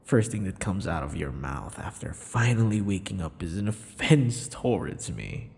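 Man acting a low, swooping, possessive vampire captor. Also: sing-song, moderate pace, a little mellow, full character voice. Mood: smug, tender menace. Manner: theatrical, taunting, breathy whispers mixed with murmurs. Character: possessive, teasing, vain male vampire captor. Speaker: audio roleplay performer. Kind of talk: intimate ASMR boyfriend roleplay. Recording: long reverberant echo.

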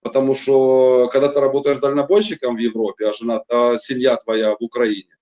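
A man's voice: medium pitch, little pitch movement, brisk, darkish tone. A middle-aged man from Donetsk, Ukraine, telling his own story at length over a webcam video call, rambling with many fillers and restarts. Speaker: chat roulette guest. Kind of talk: long personal storytelling.

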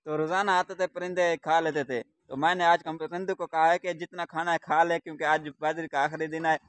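A man's voice: on the high side, a bit bright, dry recording. A young man from Pakistan talking to a handheld camera outdoors in a sunny farm village, chatting casually to his viewers and repeating stock vlog phrases as he works. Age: young adult. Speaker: village vlogger. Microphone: handheld camera at arm's length.

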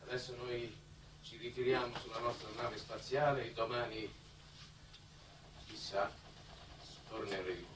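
A man plays an insistent, earnest diplomat of a space mission in a vintage television drama. His delivery is formal and oratorical, in a medium-pitched voice. The old soundtrack is echoey and distant, with clear noise. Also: lightly acted. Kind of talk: 1960s Italian television drama acting.

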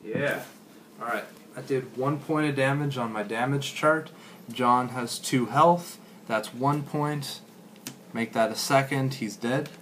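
A man's voice: medium pitch, takes it slowly, a bit bright. A man chatting casually as he plays his turn in a card game, recorded in a small echoey room. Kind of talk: casual chat during play. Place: small room at a wooden table, indoors. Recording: echoey room, faint noise.

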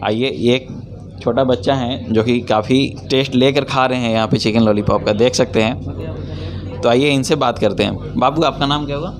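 A man's voice: mid-range voice, fairly even intonation, moderate pace, a crowd in the background. A young man from Bihar hosting a casual food vlog, interviewing diners in a small restaurant with a handheld mic. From Bihar, India. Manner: chatty, asks short friendly questions. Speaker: food vlogger. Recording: music playing behind.

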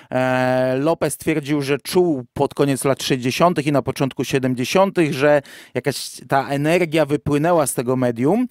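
Higher-voiced man, dry close sound. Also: a little mellow, no room sound. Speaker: podcast host. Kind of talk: podcast discussion, explanatory and casual.

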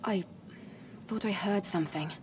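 A woman's voice: medium pitch, moderate pace, background noise, lightly acted. An actress playing a stoic, resolute young female warrior in a video game, serious and reserved in delivery. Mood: calm. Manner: restrained, serious. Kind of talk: English video game voice acting.

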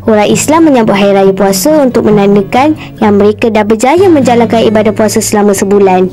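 A woman voicing a sensible, polite teenage big sister in a cartoon, with a gently lecturing manner. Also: medium pitch, fast, soft background music, natural voice. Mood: explaining. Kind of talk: cartoon voice acting.

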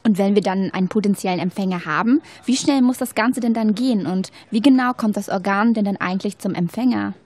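A woman plays a young female university student in an educational audio drama. She has an average-pitched voice. Her lines come out neatly rehearsed yet conversational, open-minded and curious. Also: brisk, few pauses, a little mellow, close to an everyday voice. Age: young adult. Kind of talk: scripted educational radio-play dialogue.

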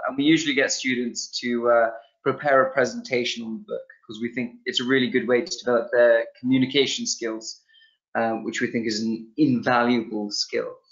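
A young man teaches a webinar from a small room, thinking aloud with plenty of ums, false starts and repeated words. His voice sits at an ordinary middle pitch. It comes through a video call with a dull, lo-fi sound. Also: a bit bright. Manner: loose, thinking aloud with many ums and restarts. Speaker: webinar presenter.

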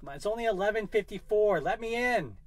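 A young man playing an anxious, regretful boyfriend in an intimate audio roleplay, speaking haltingly with sighs and whispered words. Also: sits high, expressive, background noise. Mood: panicked regret. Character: regretful, anxious boyfriend tenderly consoling his girlfriend.

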